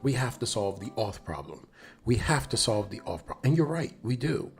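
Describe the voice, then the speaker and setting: A man's voice: mid-range voice, big pitch swings, moderate pace. A man hosting a casual live stream, slightly nasal, talking enthusiastically and directly to viewers in a rambling way that repeats phrases. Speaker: live stream host, developer advocate.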